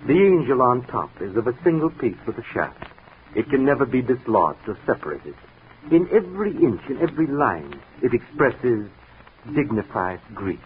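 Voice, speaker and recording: Unctuous salesman played by a man: florid, deferential, very animated, lowish pitch; vintage radio drama on tinny narrow-band audio. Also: normal speed, lightly acted. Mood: smooth, solemn. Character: unctuous, courteous male monument salesman. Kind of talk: 1940s radio drama acting. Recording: tinny 1940s radio recording with noise.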